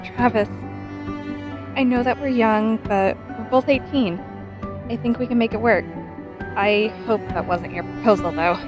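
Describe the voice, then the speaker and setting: A woman's voice: fairly high pitch, a little slow, long pauses, music in the background, natural voice. A young woman playing a devoted, playful eighteen-year-old sweetheart in a romantic audio drama. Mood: tender, earnest.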